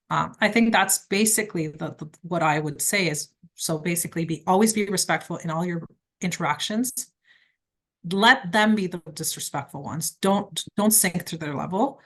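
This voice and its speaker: A woman's voice: lowish voice, fairly bright tone. A middle-aged woman from Quebec, a criminal defence lawyer, giving direct, emphatic advice in an interview. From Quebec, Canada. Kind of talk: conversational interview answers.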